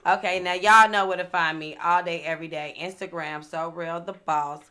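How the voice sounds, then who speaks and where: A woman with a bright, forceful voice sitting a little low in pitch. She talks in a casual, informal way as a podcast co-host. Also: steady tone, moderate pace. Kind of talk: casual chat, plugging social accounts.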